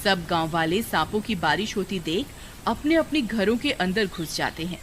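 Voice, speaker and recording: Female narrator, scripted storytelling, animated intonation, loud background noise. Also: fairly low pitch, normal speed. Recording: very noisy background.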